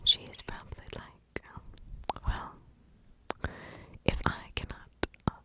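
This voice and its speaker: Woman whispering slowly, ASMR style. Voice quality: breathy, unvoiced whisper. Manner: lingering, repeats words. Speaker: ASMR video host, true crime narrator.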